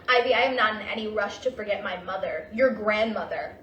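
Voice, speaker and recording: A woman's voice: medium pitch, fairly quick, fairly bright tone, close to an everyday voice. A woman acting a grieving, defensive middle-aged mother in a naturalistic staged play reading. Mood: hurt, defensive. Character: grieving, defensive, weary mother mourning her elderly mother. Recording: roomy distant stage recording, faint noise.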